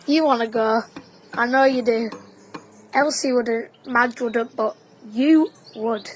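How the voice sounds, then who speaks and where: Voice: mid-range voice, slow pace, slight background noise, close to an everyday voice. An actor playing a cocky, teasing wartime boy in an audio drama, talking in clipped, colloquial phrases. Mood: coaxing. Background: birdsong.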